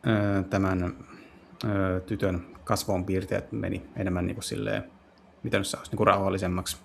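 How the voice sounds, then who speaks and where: A man doing a casual let's play, translating as he goes and haltingly searching for words. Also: low-pitched, level tone, vocal fry, slight background noise. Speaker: streamer playing and translating visual novels.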